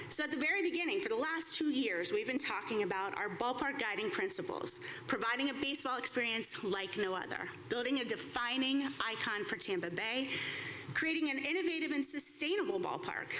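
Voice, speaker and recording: A woman with a fairly high, very bright, forceful voice presents to a room like a team representative at a press conference. She is enthusiastic and conversational, slipping informal asides into prepared remarks. There is clear background noise in the live room. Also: low-quality recording. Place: indoor press conference room before an audience.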